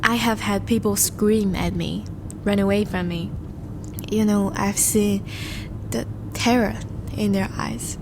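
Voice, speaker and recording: Woman acting a slow, courtly, charming vampire. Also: medium pitch, fairly even intonation, noisy background. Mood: tender, wistful. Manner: formal, courtly, gentle phrasing with soft sighs. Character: courtly, charming vampire, tender and devoted suitor. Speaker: audio roleplay voice actor. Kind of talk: scripted romantic audio roleplay, addressed to the listener.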